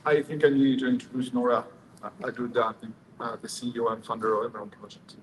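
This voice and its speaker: A man's voice: medium pitch, little pitch movement. Guy speaking to a crowd, lots of uhs and stops, room noise behind him. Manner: halting, many uh fillers and restarts. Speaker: event speaker handing over the mic. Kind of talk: informal speech to an audience. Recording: noticeable background room noise.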